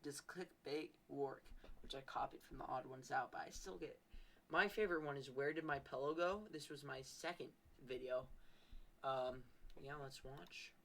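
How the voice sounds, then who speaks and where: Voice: mid-range voice, normal speed. A podcast host casually commenting on their own old videos, with ironic hype and a habit of echoing phrases.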